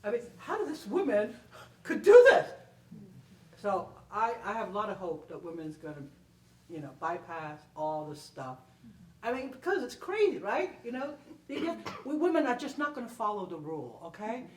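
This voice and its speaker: A woman's voice: medium pitch, very animated, moderate pace. Emphatic woman in a roomy, distant recording. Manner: emphatic, repeats herself, checks in with "okay?". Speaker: panel speaker, performer answering an audience. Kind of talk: animated conversational storytelling to an audience. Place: indoor library event room, far microphone. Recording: roomy, distant event recording with faint noise.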